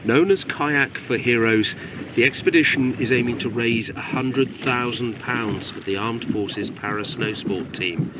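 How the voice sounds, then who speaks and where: A man delivers scripted news narration in a measured, even tone. Boat engines and lapping water sit clearly behind his voice. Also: medium pitch, background noise. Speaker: news reporter.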